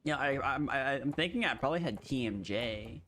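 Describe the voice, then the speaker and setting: Rambling American streamer with a slight twang. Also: male voice, medium pitch, animated, fairly quick, fairly bright tone, faint music behind. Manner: rambling, with filler words and restarts. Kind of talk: casual gaming chat.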